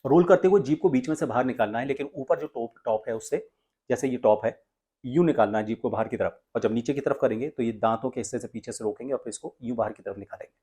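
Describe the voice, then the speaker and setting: Indian guy doing a voice coaching tutorial, medium pitch, explains each step, pauses a lot. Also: mellow, slightly rough. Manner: deliberate, explanatory, often pausing mid-sentence. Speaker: voice coach and presenter.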